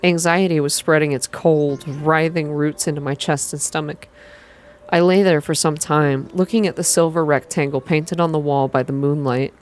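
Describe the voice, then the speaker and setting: Low-pitched woman reading softly and calmly. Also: a little hiss or hum. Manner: calm, slow, hushed. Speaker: reader of a visual novel for an online channel. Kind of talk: soft ASMR-style narrated reading.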